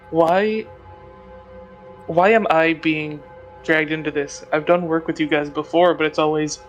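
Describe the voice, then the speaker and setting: A man's voice: a little high, fairly bright tone. A man speaking casually as a player in a tabletop roleplaying game. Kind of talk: casual in-character game dialogue.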